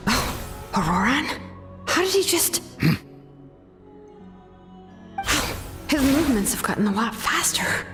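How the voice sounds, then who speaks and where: Alert young heroine, high pitch, expressive, earnest game dialogue, faint music. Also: female voice, slow pace, long pauses, heavily acted. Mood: alert, surprised. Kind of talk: video game voice acting.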